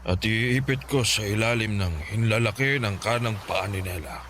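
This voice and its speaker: Low-voiced grave Filipino village healer played by a man. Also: fairly bright tone, close to an everyday voice. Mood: grave, warning. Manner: deliberate, knowing, murmurs thoughtful hums. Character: grave, calm older male village folk healer. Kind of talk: Filipino radio drama acting. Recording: clearly noisy background ambience.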